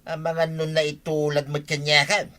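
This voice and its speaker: Casting: male actor as a sneaky tippling village husband for Ilocano comedy drama, sharp bright voice. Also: a little high, completely flat delivery, moderate pace. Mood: impatient.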